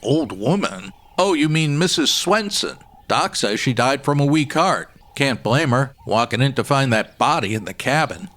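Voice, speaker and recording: An actor playing a folksy, wry state trooper with easygoing authority in a comedy audio drama. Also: male voice, medium pitch, expressive, moderate pace, few pauses, fairly bright tone, close to an everyday voice. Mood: reassuring.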